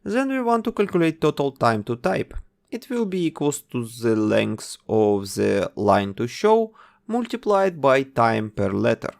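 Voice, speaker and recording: A man narrating a coding tutorial, calmly giving instructions one step at a time. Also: mid-range voice, measured pace, vocal fry. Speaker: game development tutor.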